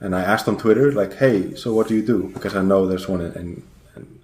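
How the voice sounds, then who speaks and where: Guy, deep voice, roomy echo, rambles with lots of uhs. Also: fairly quick, a little mellow. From Iceland. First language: Icelandic. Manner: rambling, hesitant with many fillers. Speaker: guest programmer. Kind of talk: casual podcast interview.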